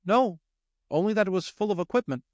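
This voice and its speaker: Man voicing a high-pitched, careful mother mouse. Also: animated, a little fast, dry recording, studio quality. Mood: plain, earnest. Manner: careful, tentative. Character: polite, careful mother field mouse, modest and anxious. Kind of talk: audiobook character voice.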